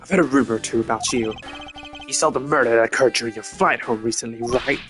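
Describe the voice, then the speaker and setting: A man's voice: mid-range voice, fast, over music, natural voice. A man voicing a brash, proud, scornful Interpol agent in a video game, with a swaggering, slangy, confrontational manner.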